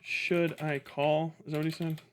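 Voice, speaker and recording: A man's voice: on the high side, little pitch movement, moderate pace. A man doing casual livestream commentary, swearing and muttering to himself at a close mic. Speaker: livestream host reacting to a video.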